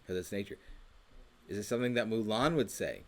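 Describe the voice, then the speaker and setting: A young man with a fairly low voice hosts a casual quiz. He talks in a laid-back, slangy way and teases his co-host. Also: expressive, a little fast. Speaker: co-host of a church youth show. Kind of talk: casual chat, quiz hosting.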